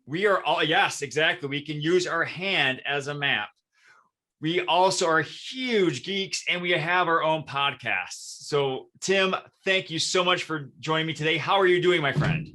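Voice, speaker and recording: A man's voice: on the high side, a little slow, sharp tone. A man with an American accent hosting a podcast interview in an enthusiastic, upbeat conversational style. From Michigan, United States. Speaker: podcast host.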